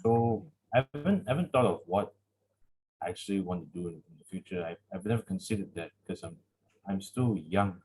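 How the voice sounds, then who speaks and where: A teenage male student with a Malaysian accent speaking informally and haltingly on an online class call. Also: low voice, expressive, darkish tone, breathy edge, poor audio. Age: teens. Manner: hesitant, halting. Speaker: secondary school student. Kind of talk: informal self-introduction in class.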